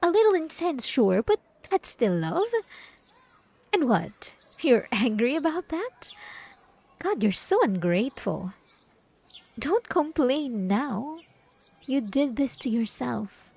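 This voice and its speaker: A woman acts out a clingy, gaslighting yandere ex-girlfriend in an intimate audio roleplay. Her voice sits fairly high and swings widely in pitch, lingering slowly over words. She is coy and falsely innocent, sweet on the surface and menacing underneath. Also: big pitch swings, creaky, darkish tone, husky, heavily acted. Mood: indignant, cloying. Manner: coy, teasing, mock-innocent, drawn-out pet names. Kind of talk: ASMR roleplay acting addressed to the listener.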